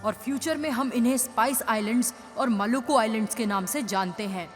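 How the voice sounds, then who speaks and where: A woman's voice: a little high, natural voice. A woman voicing an eager young seafarer who tells of a voyage in a cartoon dub, with long reverb on the voice.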